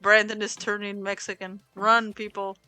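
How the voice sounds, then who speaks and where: A woman from Ohio co-hosting a casual podcast, animated and swearing a lot. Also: mid-range voice, moderate pace, clean tone, dry recording, faint music behind. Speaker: podcast co-host. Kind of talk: casual chat. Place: home streaming setup.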